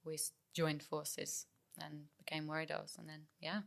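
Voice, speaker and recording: A woman's voice: low-pitched, monotone, normal speed, creaky. A young woman musician from England chatting casually in an interview, with lots of fillers and restarts. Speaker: musician guest.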